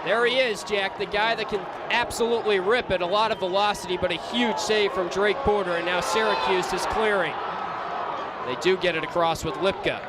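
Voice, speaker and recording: A young man with a high voice calls a live lacrosse game as a radio play-by-play announcer. He is excitable and lifts into shouts on big plays, with a busy crowd and plenty of noise behind him. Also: fairly quick. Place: sports stadium during a live game. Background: crowd and arena noise.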